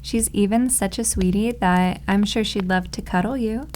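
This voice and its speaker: A woman's voice: mid-range voice, moderate pace, a little mellow, a little hiss or hum, lightly acted. A woman acting as a doting, possessive maid girlfriend in an ASMR roleplay, cooing and confidently teasing.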